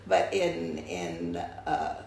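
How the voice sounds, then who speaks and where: A woman's voice: low-pitched, sing-song, very slow, vocal fry, a little mellow, slight background noise. An older woman from the United States, answering interview questions reflectively and often restarting her sentences. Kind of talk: oral history interview. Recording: echoey room.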